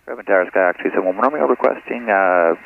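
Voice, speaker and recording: A man's voice: deep voice, fast talker, vocal fry. A man who is a pilot, reading back tower instructions in clipped radio phrases. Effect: aviation radio. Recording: tinny radio audio with loud noise.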